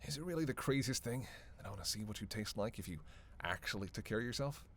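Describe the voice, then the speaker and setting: A man's voice: lowish voice, expressive, a little fast, slight background noise, lightly acted. Man playing a teasing, sardonic vampire. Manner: teasing, casual, profane, sarcastic banter. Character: flirty, sardonic male vampire on a road trip. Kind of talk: roleplay audio drama monologue.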